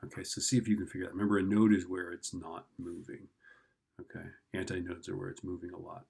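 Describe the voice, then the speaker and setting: Male teacher, low creaky voice, informal tutoring delivery, thinks out loud and checks in often. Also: little pitch movement, quick pace. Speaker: physics teacher. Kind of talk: informal lesson, working through an exam problem.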